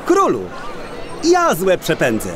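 An actor playing an eager, confident commoner with an excitable manner in an audio fairy tale. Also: male voice, high voice, takes it slowly, crowd noise, noisy background, heavily acted. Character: eager, confident commoner volunteering to banish ghosts.

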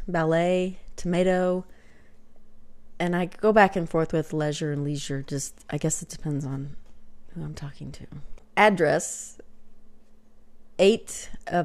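Middle-aged woman, light Texas accent, lower register, casual self-mocking webcam vlogger at her desk. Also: slow pace, long pauses, dark tone. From Texas, USA. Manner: chatty, self-deprecating, filler-heavy, drops to whispers. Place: small home room with shelves, indoors.

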